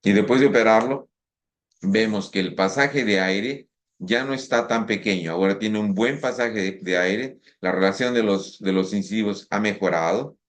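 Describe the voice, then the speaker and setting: Low-voiced man lecturing with halting restarts. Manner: halting, frequent restarts and fillers. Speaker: orthodontist lecturer. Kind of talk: conference lecture. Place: conference hall.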